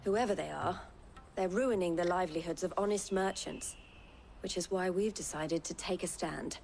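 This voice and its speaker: Fantasy game merchant leader, lowish voice, articulate, composed, dryly teasing, music underneath. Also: female voice, vocal fry, a little mellow. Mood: grave, composed. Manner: formal, articulate, measured. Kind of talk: scripted fantasy game dialogue.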